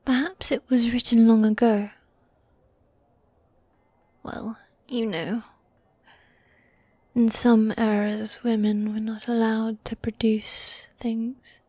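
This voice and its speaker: A woman voicing a teasing, tender demon girlfriend in a medieval fantasy ASMR roleplay, speaking in soft breathy whispers with formal, old-fashioned phrasing. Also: on the high side, level tone, slow pace, pauses often, no room sound. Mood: thoughtful, gentle. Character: gothic demon girlfriend, teasing, tender, dominant yet needy.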